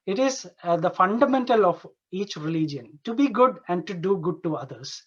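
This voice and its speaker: A man's voice: high-pitched, animated, normal speed, a bit bright, breathy edge. Man presenting over dull, lo-fi audio. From Dubai. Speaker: conference speaker, Ayurveda doctor. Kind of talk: informal conference presentation. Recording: dull, lo-fi online conference audio.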